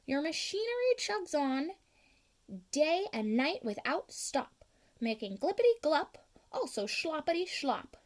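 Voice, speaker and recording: A woman voicing a grumpy old forest creature from a storybook, croaky, scolding and pleading. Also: sits high, very animated, measured pace, voice pushed up. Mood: indignant scolding. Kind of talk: storybook read-aloud.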